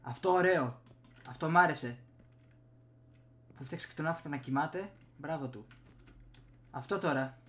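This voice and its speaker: A man's voice: on the high side, pauses often, a bit bright, slight background noise. A young man giving casual gameplay commentary, muttering and reacting in short bursts. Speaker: gaming streamer. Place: small room indoors at home. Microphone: desk USB microphone.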